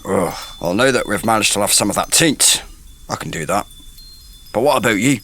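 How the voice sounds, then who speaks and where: A man playing a gruff, protective warrior in a fantasy audio drama. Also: lowish voice, expressive, normal speed, a bit bright, a little hiss or hum. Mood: gruff, concerned.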